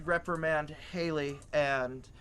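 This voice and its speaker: Excitable high-voiced man bantering. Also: slow, slight background noise. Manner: animated, sweary. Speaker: comedy dub performer.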